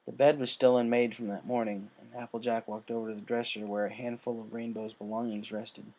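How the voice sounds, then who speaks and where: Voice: mid-range voice, level tone, fairly quick, a little mellow, low-quality recording. A narrator reading fan fiction aloud as scripted storytelling. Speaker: story reader.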